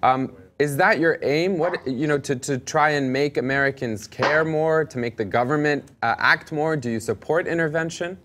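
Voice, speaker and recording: A man's voice: a little high, moderate pace, few pauses, fairly bright tone, a little hiss or hum. A man hosting a live web interview, asking probing questions and rephrasing them as he goes. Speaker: host.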